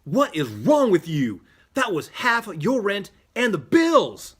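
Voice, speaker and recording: Hot-tempered male buddy played by a man, loud, incredulous, pitched up, music underneath. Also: unhurried. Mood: livid. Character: exasperated, hot-tempered male friend of the hero.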